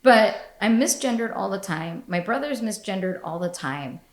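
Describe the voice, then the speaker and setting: A woman's voice: medium pitch, animated, moderate pace. A woman hosting a podcast in casual chat, blunt and profane, laughing often. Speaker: podcast host. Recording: echoey room.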